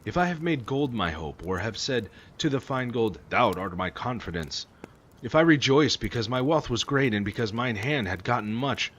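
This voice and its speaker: A man's voice: medium pitch, expressive, moderate pace, fairly bright tone. A man reading old scripture aloud in a solemn, declamatory manner. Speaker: amateur Bible reader. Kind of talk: reading scripture aloud, King James Version. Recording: clearly noisy recording with ambience.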